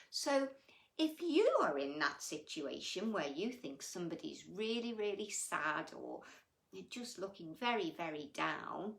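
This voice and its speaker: A woman's voice: medium pitch, gravelly, reverberant. A woman hosting a children's story time, telling stories and chatting to young listeners in a gushing, affectionate, exclamatory manner. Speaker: children's bedtime story reader and host. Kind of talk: animated storytelling and chat addressed to young children.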